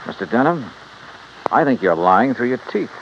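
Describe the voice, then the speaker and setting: Wry radio detective, lively pitch. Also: male voice. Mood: wry narration. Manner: wry, brisk, skeptical. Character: wry, confident male freelance insurance investigator. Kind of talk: 1950s radio detective drama acting and narration. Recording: muffled, noisy old radio recording.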